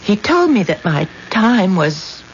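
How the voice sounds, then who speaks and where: An actress playing a frail, deferential patient in a 1970s radio drama, speaking in a meek, hesitant way. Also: female voice, mid-range voice, very animated, slow pace. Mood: meek, fearful. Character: frail, timid, ailing wife, a deferential patient. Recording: muffled old radio recording with hiss.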